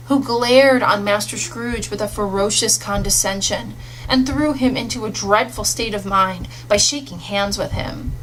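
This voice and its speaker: A woman's voice: on the high side, fairly bright tone. A woman reading a literary story aloud as an audiobook narrator, in a scripted storytelling style. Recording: faintly noisy audiobook recording.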